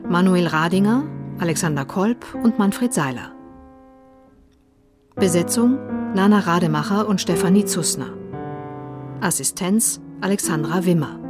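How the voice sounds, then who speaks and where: Slow, measured woman announcer over music. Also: medium pitch. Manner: measured, formal. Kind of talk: scripted radio credits announcement.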